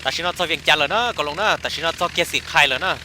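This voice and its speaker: A man's voice: a little high, fast, over loud music, lightly acted. A man voicing a talkative male character in a comic cartoon. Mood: explaining. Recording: clear background noise.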